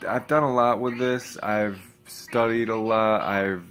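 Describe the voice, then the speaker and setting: Young man, vlog host, lowish voice, near monotone, low energy, hesitant. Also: unhurried. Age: young adult. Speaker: vlogger. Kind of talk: casual vlog talk to camera.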